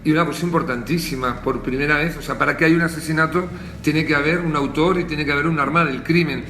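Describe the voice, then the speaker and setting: Bright, higher-pitched man in echoey room. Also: fairly even intonation, fast talker. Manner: emphatic, explanatory. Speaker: activist spokesman, interviewee. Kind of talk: conversational interview. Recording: roomy echo, faint background noise.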